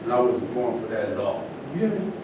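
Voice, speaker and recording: An outspoken, militant male athlete, acted by a man, speaks with scorn in a stage play. He is distant from the microphone in a heavily echoing room with a noticeable rumble. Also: very animated, a little fast, natural voice. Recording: echoey room from far off, rumbling noise.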